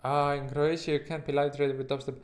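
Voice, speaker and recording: Halting Estonian-accented man, livestream host. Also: on the high side, level tone. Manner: halting, rambling, informal, occasional swearing. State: sleep-deprived. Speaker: livestream host, futures trader. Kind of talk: casual livestream chat with viewers.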